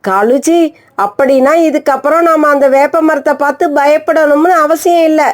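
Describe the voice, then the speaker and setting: A woman's voice: high voice, moderate pace, close to an everyday voice. A woman voicing a greedy, scheming female crow in a cartoon, wheedling and pushy. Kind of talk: animated cartoon voice acting.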